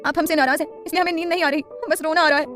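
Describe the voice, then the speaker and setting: A woman's voice: sits high, very fast, a bit bright, soft background music, pitched above the performer's own voice. A woman voicing a spoiled, clingy young woman who is crying and whiny. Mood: weepy. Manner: whiny, sobbing.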